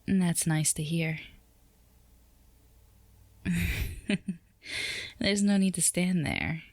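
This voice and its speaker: A woman acts out a poised female CEO with a low voice, recorded very dry and close. She is flirtatious and composed, teasing the listener in formal, unhurried phrases, in the manner of an ASMR roleplay. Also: steady tone, no echo, heavily acted. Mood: flirty, inviting.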